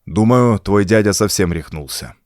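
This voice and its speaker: Narrator as a low, steady, protective male hero. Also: moderate pace, no echo, clean studio sound, lightly acted. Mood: awkward. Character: gruff, protective male hero, reassuring and laconic. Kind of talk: audiobook character dialogue.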